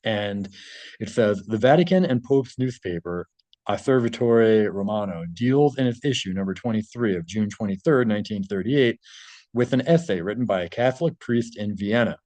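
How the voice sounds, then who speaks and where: Low-voiced man reading aloud, dry close sound. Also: expressive, normal speed, dark tone, no room sound. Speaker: narrator reading and commenting on an article. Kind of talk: reading a translated article aloud with informal commentary.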